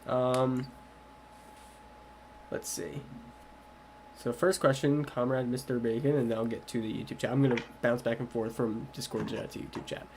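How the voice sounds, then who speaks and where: A man's voice: medium pitch, flat delivery, moderate pace, pauses often, darkish tone, a little hiss or hum. A man who is a little sick, hosting a casual livestream Q&A about his game project and talking in a loose, rambling way. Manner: loose and rambling, with many fillers.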